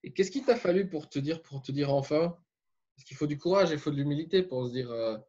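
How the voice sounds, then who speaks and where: Emphatic higher-voiced male coach, muffled. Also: fairly quick, warm tone. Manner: explanatory, emphatic. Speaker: fitness coach interviewer. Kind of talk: coaching interview. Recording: dull muffled audio.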